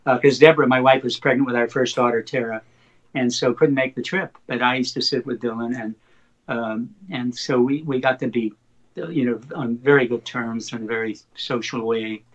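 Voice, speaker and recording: An older man whose first language is Slovak recounts stories as an interview guest. His voice sits in a middle pitch, and he tells anecdotes with animated, excited retellings. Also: normal speed, dull audio. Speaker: poet guest. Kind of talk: interview storytelling.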